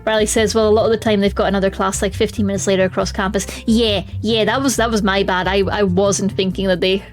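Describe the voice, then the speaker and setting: A woman's voice: mid-range voice, little pitch movement, quick pace. A woman streaming a game, chatting expressively and reading the story text aloud. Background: faint music.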